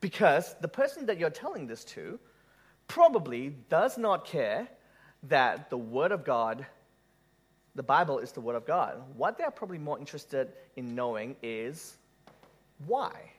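A man who grew up in Malaysia delivers a sermon in a church hall, speaking in a fairly high voice. His pitch swings widely as he jokes and throws questions at the congregation. The tone stays conversational rather than formal. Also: big pitch swings, a little slow, breathy edge. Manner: conversational, joking, asks the audience questions. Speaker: preacher. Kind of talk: sermon with audience interaction.

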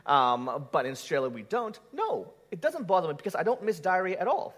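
Male preacher raised in Malaysia, fairly high voice with a lively, swinging delivery, chatty and joking with the congregation. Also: brisk. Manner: conversational, joking, asks the audience questions. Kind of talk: sermon with audience interaction.

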